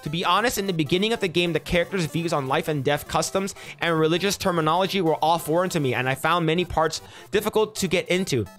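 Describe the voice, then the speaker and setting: A young man talking casually into a headset mic, his voice sitting a touch high for a man. He reads posts out slowly and steadily, then breaks off to swear and react. Faint music plays behind him. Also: fairly bright tone. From United States. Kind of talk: casual commentary, reading posts aloud. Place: small room indoors at a desk.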